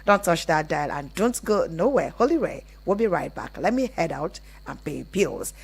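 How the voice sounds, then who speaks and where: A woman's voice: fairly low pitch, very animated, moderate pace, creaky, breathy edge. A woman with a Nigerian accent presenting a live radio call-in show in a conversational, emphatic way. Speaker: radio relationship show host.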